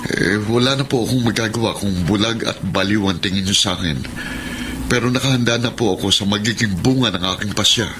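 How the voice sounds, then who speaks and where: An actor playing an earnest, put-upon young boyfriend in a radio drama, pleading and exasperated. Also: male voice, on the low side, fairly quick, natural voice. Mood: earnest, defiant. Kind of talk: Filipino radio drama acting. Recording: noisy radio drama recording.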